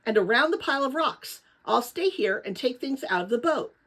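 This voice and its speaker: A woman reading a storybook as an adventurous young girl, earnest, determined and excitable. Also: fairly high pitch, normal speed.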